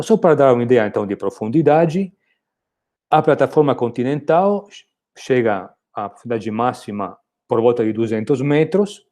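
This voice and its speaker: Italian-accented male professor, non-native speaker, mellow low-brightness tone, patient explanatory lecture delivery. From Italy. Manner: explanatory, with hesitations and restarts. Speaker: university lecturer. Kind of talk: recorded online lecture.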